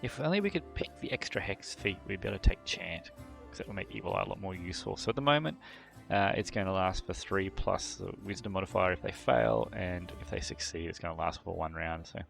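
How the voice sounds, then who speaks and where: Gravelly low-voiced gaming host. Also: male voice, expressive, fairly quick, fairly bright tone, soft background music. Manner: thinking aloud with many fillers; clears throat often. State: voice a bit worn from a long previous session. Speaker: gameplay channel host. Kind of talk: casual gameplay commentary.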